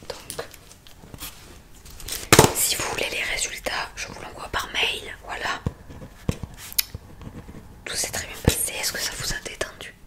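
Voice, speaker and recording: Slow, whispering ASMR woman. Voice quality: breathy, unvoiced whisper. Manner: soft, unhurried, step-by-step instructing. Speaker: ASMR roleplay host, examiner giving relaxation tests.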